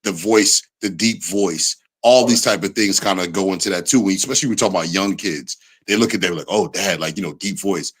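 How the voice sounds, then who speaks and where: Low-voiced man explaining thoughtfully. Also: a little fast, few pauses, breathy edge. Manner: laughs often, explains thoughtfully with many "like"s. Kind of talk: casual chat.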